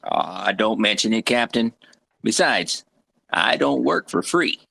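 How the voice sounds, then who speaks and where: A man playing a folksy, wry frontier doctor and former army medic, gravelly and drawling, in a table read of a western. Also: fairly low pitch, animated, slow, pushed and bright, exaggerated voice. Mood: dry, wry.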